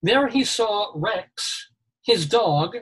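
Middle-aged male radio host with a husky voice; wisecracking news reader. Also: sits high, lively intonation, very slow, fairly bright tone. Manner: jokey, reads news items with quips. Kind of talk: comedic news chat.

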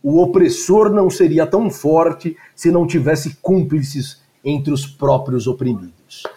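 A man with a fairly high voice lecturing to his class. He talks in a digressive way, piling on asides and fillers, and his pace is unhurried. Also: dark tone. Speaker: teacher. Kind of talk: lecture discussion.

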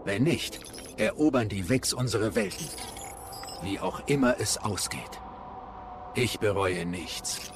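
Man acting a terse, earnest machine companion, slightly creaky. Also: lowish voice, expressive, slow pace, long pauses, noisy background, full character voice. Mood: solemn. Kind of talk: German audio drama acting of a video game.

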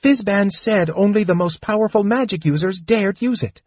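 A small, fidgety male kender chatters in a thin, high voice, put on by a man reading aloud. He stammers and rushes his words. The audiobook sound is a bit dull and dry. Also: a little mellow, slightly rough, dry recording. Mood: worried.

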